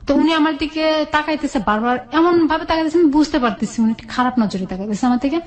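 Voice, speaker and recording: High-voiced Bangladeshi woman telling her story. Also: fast. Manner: colloquial, recounts conversations as reported dialogue. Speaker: guest telling own life story. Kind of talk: candid personal storytelling in an interview.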